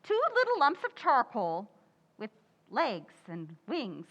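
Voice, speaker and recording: A high, swooping voice in a dull, echoey hall recording. A woman plays a flustered young housewife from a vintage radio comedy, always ready with a sarcastic crack. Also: huge pitch swings, fairly bright tone, heavily acted. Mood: perky, puzzled. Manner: quick sarcastic comebacks. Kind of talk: old-time radio sitcom acting. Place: community theatre stage radio recreation.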